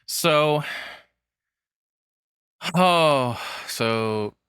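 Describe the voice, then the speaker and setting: A man's voice: on the high side, animated, very slow, a bit bright, clear, resonant tone, no room sound, studio quality. A man in his thirties giving casual, rambling reaction commentary as the host, swearing freely. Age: 30s.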